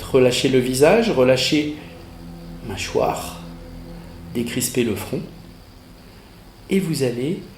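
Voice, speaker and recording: A man with a fairly high voice guides a relaxation session very slowly. He gives short, measured instructions with pauses between them. The recording is roomy and echoing. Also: a little mellow. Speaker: yoga nidra instructor. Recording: roomy echo, music behind the voice.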